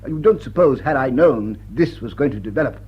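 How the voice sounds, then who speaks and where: Self-important aristocrat for radio comedy-drama; wounded, halting, very animated delivery, dull lo-fi recording. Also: male voice, medium pitch, husky. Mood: apologetic, insistent. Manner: pompous, halting, repeats himself for emphasis. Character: pompous, flustered English lord, self-important and wounded.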